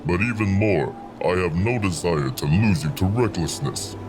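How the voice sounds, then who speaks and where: A man voicing a huge, stern and wise copper dragon who rumbles in measured, formal speech for a fantasy audiobook. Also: animated, background noise. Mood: stern, scolding. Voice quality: deep rumbling. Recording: loud music behind the voice.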